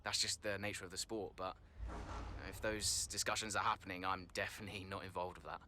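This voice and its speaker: A man's voice: low-pitched, level tone, fairly quick, background noise, lightly acted. An actor playing a guarded, dryly wry male racing driver who gives hesitant, diplomatic interview answers in a game. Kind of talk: post-session interview answers in a game cutscene.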